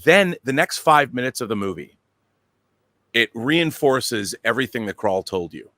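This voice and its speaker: A man's voice: medium pitch, lively intonation, moderate pace, bright, forceful tone, no room sound. Emphatic man giving opinionated commentary, dry sound. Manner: emphatic, repeats himself, rhetorical questions. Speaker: show panelist.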